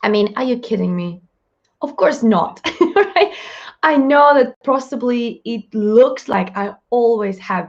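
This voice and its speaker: Upbeat Russian-accented woman host, a bit muffled. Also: medium pitch. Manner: chatty, upbeat, fast-talking, laughs often. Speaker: live show host, mindset coach. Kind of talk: live-stream Q&A.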